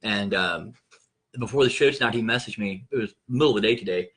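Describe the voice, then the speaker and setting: A man's voice: on the low side, fairly quick. A man around forty, a local news reporter, chatting on a live show with dry wisecracks. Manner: dry, wisecracking, relaxed storyteller. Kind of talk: casual live show chat.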